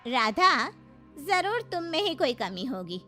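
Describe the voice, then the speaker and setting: Lady doing a smug catty sister in law in a story, needling, highish voice. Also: sing-song, slow, sharp tone, faint music behind, heavily acted.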